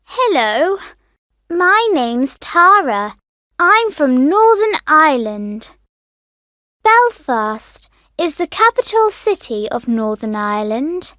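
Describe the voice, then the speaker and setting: Very high, animated schoolchild, voiced by a woman. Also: slow pace, no room sound, clean studio sound, heavily acted. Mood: cheerful, informative. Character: friendly nine-year-old schoolchild introducing themselves. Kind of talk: scripted language course recording.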